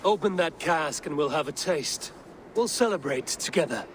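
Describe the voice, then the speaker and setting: A blunt, principled Viking raider in a game cutscene. The voice sits slightly high for a male and is stern and measured, with some noise behind it. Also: lively intonation, a bit bright. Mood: wry. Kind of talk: dramatic video game cutscene acting.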